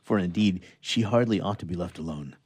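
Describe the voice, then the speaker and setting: A man playing a grave, courteous young doctor in a sentimental radio theatre story, speaking in a measured, earnest way. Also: low-pitched, darkish tone, dry recording. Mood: grave, directing.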